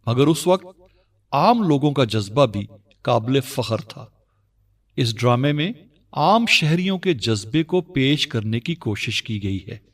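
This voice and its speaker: A man's voice: medium pitch, lively intonation, a little slow, recorded from a distance. A male announcer narrates a radio drama in a formal, scripted style. His mid-range voice sounds set back from the microphone.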